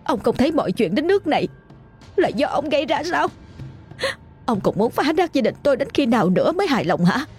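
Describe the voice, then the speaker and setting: A woman's voice: high-pitched, very animated, brisk, a little mellow, soft background music, pitched above the performer's own voice. A woman playing a desperate tearful wife. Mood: angry tearful. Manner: pleading, crying out. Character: desperate middle-aged wife, angry and tearful.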